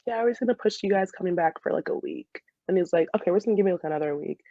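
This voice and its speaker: A woman's voice: lowish voice, racing, mellow, no echo, highs cut off. A woman in her twenties with an American accent, telling stories casually as a podcast guest in a chatty, giggly way, saying "like" often. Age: 20s. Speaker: podcast guest, dental student and soccer player. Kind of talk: casual conversational storytelling.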